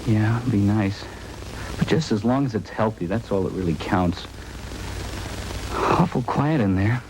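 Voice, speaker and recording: A man acting a young expectant husband in 1950s radio drama, fretful and folksy. His fairly low voice is hesitant, on an old radio recording with light noise. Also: unhurried, natural voice. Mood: anxious, hopeful. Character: anxious young husband awaiting his wife's childbirth.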